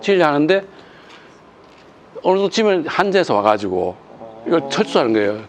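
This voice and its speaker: A man on a discussion panel, telling an anecdote slowly and easily. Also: on the high side, very animated, a little hiss or hum.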